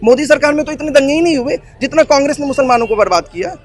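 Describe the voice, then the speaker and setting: A man's voice: extremely high pitch, animated, racing. A man giving a spontaneous, argumentative opinion in an interview, repeating himself and searching for words. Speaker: street interviewee. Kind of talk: spontaneous opinion, Hindi mixed with English.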